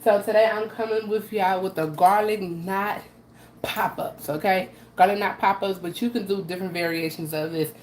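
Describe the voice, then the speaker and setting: A woman with a raspy voice from allergies, hosting a casual live cooking demo and chatting to viewers with frequent "okay?" asides. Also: mid-range voice, fairly even intonation, normal speed. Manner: chatty, repeats "okay?" to her audience. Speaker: host of a live cooking stream. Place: home kitchen. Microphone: camera she adjusts by hand. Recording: roomy echo, faint background noise.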